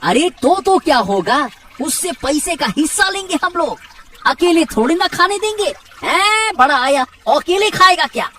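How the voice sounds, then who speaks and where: A woman voicing an excitable, earnest young village fisherman in a Hindi cartoon, exclaiming and repeating words urgently. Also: very high-pitched, a little slow, far from the mic, a little hiss or hum, heavily acted. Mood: grumbling. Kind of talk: Hindi cartoon moral story acting.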